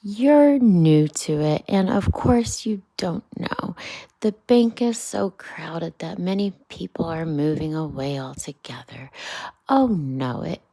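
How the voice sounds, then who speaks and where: A woman's voice: fairly low pitch, expressive, slow pace, vocal fry, mellow, dry recording, full character voice. A woman voicing a chatty, worldly male water rat, cautious and dismissive, in a children's bedtime storybook reading. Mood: complaining, airy.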